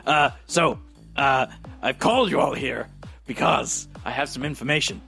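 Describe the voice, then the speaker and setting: A man's voice: mid-range voice, normal speed, vocal fry, sharp tone, faint music behind. A man playing an exhausted, eccentric media personality in a tabletop game, blunt, rambling and flippant. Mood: urging, rambling. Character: scruffy, exhausted, blunt, eccentric male media show host.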